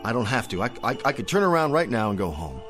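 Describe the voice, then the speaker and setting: A man's voice: medium pitch, sing-song, quick pace, natural voice. An actor playing a bewildered, reluctant man taken for Santa Claus in a radio drama, over music. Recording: music behind the voice.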